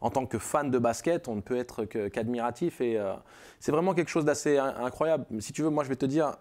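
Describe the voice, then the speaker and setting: A man's voice: mid-range voice, fairly quick, darkish tone. A man, a basketball pundit, speaking animatedly in a studio sports debate.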